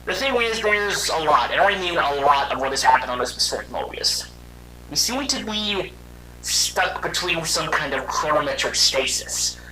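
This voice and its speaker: A man voicing a weary young robot hedgehog, telling his story in a halting, conversational and dramatic way for a fan audio drama. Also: a little high, expressive, background noise, lightly acted. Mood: hesitant, candid.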